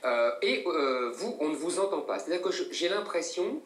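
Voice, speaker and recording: A middle-aged man co-hosting a debate, probing a guest with halting questions full of fillers. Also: fairly high pitch, big pitch swings, echoey room, far from the mic. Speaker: talk show co-host.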